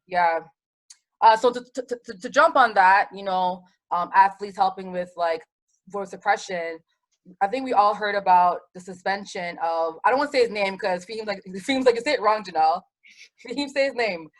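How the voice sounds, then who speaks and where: A woman's voice: medium pitch, poor audio. Chatty Trinidadian woman co-host. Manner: animated, laughs easily, rapid rambling asides. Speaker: podcast co-host. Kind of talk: casual chat.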